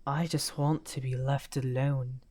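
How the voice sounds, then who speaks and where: A man playing a misunderstood, weary villain wizard in an ASMR fantasy roleplay, amused and softly menacing, talking teasingly and half in whispers. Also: mid-range voice, flat delivery, unhurried, natural voice.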